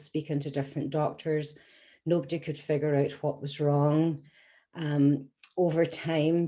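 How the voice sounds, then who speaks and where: An Irish woman in her sixties, a patient advocate telling her personal story to an audience in an earnest, measured way with frequent ums. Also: low voice, warm tone. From Scotland, then Ireland. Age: 60s. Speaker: patient advocate giving a talk.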